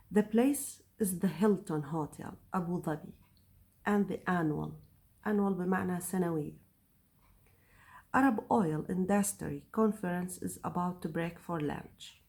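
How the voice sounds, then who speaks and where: Slow, mellow woman reading a lesson. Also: fairly low pitch. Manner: reads text aloud, glossing words in Arabic. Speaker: English teacher. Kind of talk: slow read-aloud lesson with translations.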